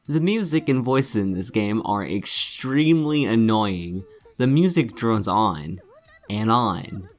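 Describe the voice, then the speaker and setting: A man delivering scripted game review commentary in a scornful, sardonic manner, swearing freely. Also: lowish voice.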